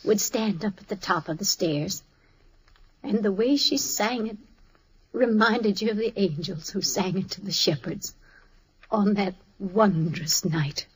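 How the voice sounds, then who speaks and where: A woman's voice: medium pitch, a little slow, husky. A woman playing a tender, earnest daughter in an old radio family drama, on a slightly noisy recording. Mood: tender, moved.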